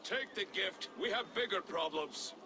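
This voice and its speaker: An actor playing a wry, practical Viking warrior in a video game, with a dry, matter-of-fact delivery. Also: male voice, sits high, animated, normal speed, very noisy. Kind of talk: dramatic video game acting.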